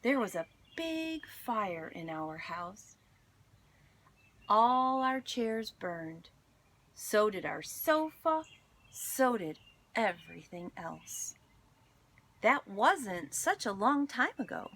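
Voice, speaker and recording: A woman teacher reading a children's story aloud to students, expressive and deliberate, with dramatic pauses. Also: mid-range voice.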